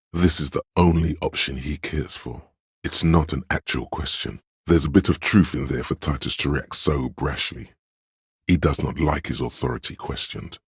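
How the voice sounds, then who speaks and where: An actor playing a stern, proud inner voice of authority in a video game, imperious, deliberate and goading, with a very deep voice. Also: male voice, husky, dry recording, studio quality, full character voice. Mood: measured judgement. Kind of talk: scripted game voice lines.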